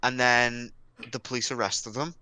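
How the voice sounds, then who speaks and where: A man's voice: mid-range voice, level tone, moderate pace, bright, forceful tone. A man in casual group banter on an online voice call, cocky and swearing freely. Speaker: podcast co-host.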